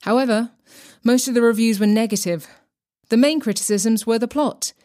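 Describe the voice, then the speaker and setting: A woman's voice: on the high side, moderate pace, darkish tone. A woman presenting a podcast with scripted, tongue-in-cheek narration.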